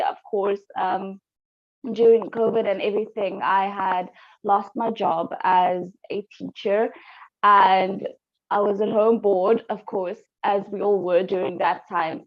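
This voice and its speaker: A woman in her twenties who grew up in South Africa, a guest telling her story casually on a video call. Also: medium pitch. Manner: chatty, storytelling. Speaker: guest, pageant YouTuber. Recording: dull lo-fi call audio.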